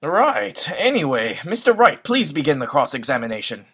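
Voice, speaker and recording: A man playing a pompous old courtroom judge in an amateur fan dub of a game, formal and ponderous, with a husky voice. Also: on the high side, very animated, moderate pace, a bit bright, full character voice. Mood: skeptical. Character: pompous, deliberating old male courtroom judge, easily swayed.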